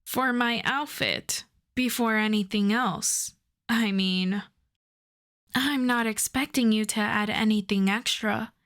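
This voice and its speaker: A woman playing a suave, self-assured female supervillain in a roleplay audio drama, flirting and teasing in an unhurried way. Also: a little high, fairly bright tone. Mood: earnest, flirtatious. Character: suave flirtatious female supervillain courting her costume maker.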